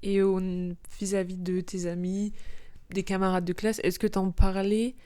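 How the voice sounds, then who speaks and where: A woman hosting a casual interview, gently curious and asking open follow-up questions. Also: medium pitch, little pitch movement, unhurried. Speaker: host, interviewer.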